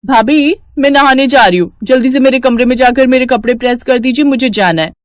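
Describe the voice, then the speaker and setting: A woman voicing a playful, demanding young sister-in-law in a brisk, teasing way for a story. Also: high voice, dry recording, clean studio sound, pitched above the performer's own voice, close to an everyday voice.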